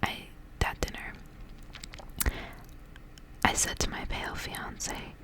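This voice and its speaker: A woman reading a story aloud in a hushed ASMR whisper from start to end. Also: takes it slowly. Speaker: ASMR reader.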